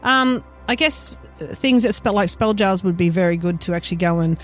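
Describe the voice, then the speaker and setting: A woman from New Zealand who practises witchcraft, co-hosting a casual podcast and giving spell advice in an excitable, chatty way. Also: fairly low pitch, brisk, faint music behind. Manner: excitable, laughs and shrieks often.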